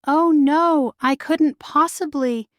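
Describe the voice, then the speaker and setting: A woman voicing a refined, caring queen and mother in a children's storybook, proper, measured and delicately formal. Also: sits high, no echo, studio quality, voice pushed up. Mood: reluctant. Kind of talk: children's storybook character reading.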